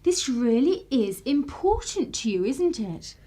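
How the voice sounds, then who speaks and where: A woman's voice: high voice, very animated, unhurried, warm tone, exaggerated voice. An actress playing a bold, teasing upper-class young Englishwoman in a radio play, poised and arch. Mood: teasing, challenging.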